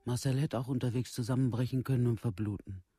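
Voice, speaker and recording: Worried village parent, played by a man, husky, plain halting speech, radio play, dry close sound. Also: medium pitch, steady tone, mellow, no echo, faint music behind, close to an everyday voice. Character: worried, worn-down village parent recounting hardship. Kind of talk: documentary radio play monologue.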